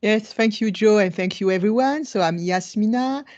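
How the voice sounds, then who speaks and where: A woman's voice: mid-range voice, moderate pace, darkish tone. A woman with a French accent introducing herself informally as a panelist. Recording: dull lo-fi video call.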